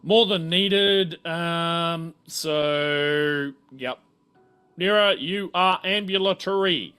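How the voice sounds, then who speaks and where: A man running a tabletop game as game master, casual and easygoing, talking in halting bursts. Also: a little high, pushed and bright, clean tone. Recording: faint music behind. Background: faint music.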